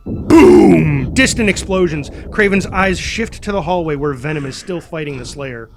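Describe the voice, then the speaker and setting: A man's voice: fairly high pitch, a little slow. A man narrating action and stage directions from a script in a dramatic style.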